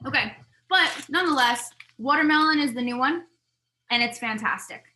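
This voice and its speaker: Woman vlogger, voice fading, rambly and chatty, bright tone. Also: high-pitched, normal speed, slight background noise, rough recording. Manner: rambling, talkative, animated asides. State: losing her voice. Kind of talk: casual chatty product review.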